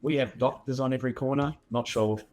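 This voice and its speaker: An Australian man, a guest in a casual chat, with a sore, croaky throat and an easygoing, hesitant way of talking. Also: medium pitch, steady tone, fairly quick, darkish tone.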